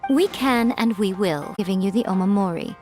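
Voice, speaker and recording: A woman's voice: mid-range voice, moderate pace, natural voice. A woman voicing a sly, elegant, self-assured fox shrine maiden in a video game, composed and playful. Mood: confident, sly. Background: game music.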